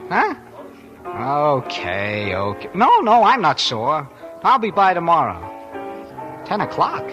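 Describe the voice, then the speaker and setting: A man's voice: on the high side, huge pitch swings, slow, sharp tone, muffled recording, faint music behind, exaggerated voice. An actor playing a brash, girl-chasing young sailor in a 1940s radio play, swaggering and laughing as he boasts. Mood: coaxing, deflated. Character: brash young male sailor on shore leave, a skirt-chaser.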